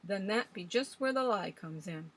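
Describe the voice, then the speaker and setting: A woman's voice: medium pitch, expressive, moderate pace. A woman playing a blustering scornful old rustic man. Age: older. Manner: loud, blustering, rustic grammar.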